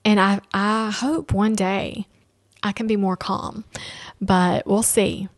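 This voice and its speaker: Candid chatty mom on a podcast. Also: female voice, mid-range voice, little pitch movement, slow pace, dry recording, studio quality. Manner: chatty and candid, with acted asides and laughs. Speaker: podcast host. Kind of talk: casual solo podcast chat.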